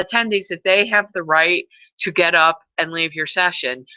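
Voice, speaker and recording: A woman organizing a conference, briefing presenters in an earnest, advising way with many fillers and a loud voice. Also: sits low, dry recording. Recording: muffled lo-fi webinar audio.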